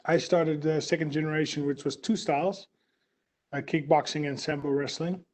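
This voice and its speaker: Dutch-accented male fighter, slightly high voice, plain answers, lots of uhs. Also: steady tone, normal speed, darkish tone. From Netherlands. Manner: short plain answers with many fillers. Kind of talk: press interview answers.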